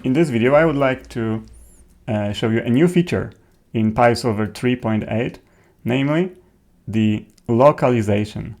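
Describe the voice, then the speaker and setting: A man, a native Polish speaker with a Polish accent, presents an informal software tutorial in a halting, hesitant way. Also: mid-range voice. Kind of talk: informal software demo narration.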